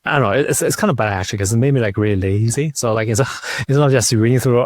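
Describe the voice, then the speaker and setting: A man hosting a casual interview, speaking informally and haltingly, often repeating words as he goes. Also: medium pitch, no room sound, studio quality. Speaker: podcast host interviewing a guest.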